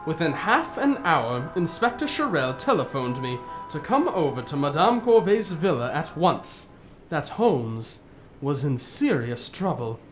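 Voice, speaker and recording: A woman playing a genial, easily flustered older gentleman storyteller in a radio drama, with a proper, old-fashioned way of talking. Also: low-pitched, big pitch swings, a little slow, soft background music. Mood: grave. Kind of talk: radio drama narration and dialogue.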